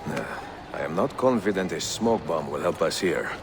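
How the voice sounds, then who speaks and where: A very low-voiced Italian assassin in middle age, dignified and resolute. He speaks calmly with game-drama gravity, over clear background noise. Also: moderate pace. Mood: dry, confident. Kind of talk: video game dramatic acting.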